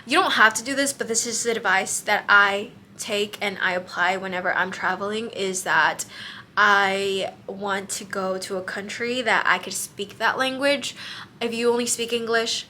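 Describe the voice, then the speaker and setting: A woman's voice: medium pitch, pushed and bright, slight background noise. A young woman, a Mexican American whose first language is Spanish, giving casual travel advice in a chatty vlog, full of fillers and restarts. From United States. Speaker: travel vlogger.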